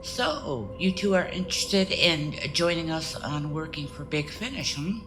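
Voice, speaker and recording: A woman's voice: sits low, expressive, measured pace, noisy background. A woman playing a kind, sociable celebrity in audio drama dialogue, with a friendly, chatty manner.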